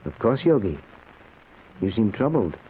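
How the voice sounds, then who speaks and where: A man's voice: fairly low pitch, sing-song, measured pace, narrow, tinny audio, slight background noise. An actor playing an obsessed, nervously intense middle-aged man, speaking earnestly and dramatically in a 1940s style radio drama. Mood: eager. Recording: thin old radio recording.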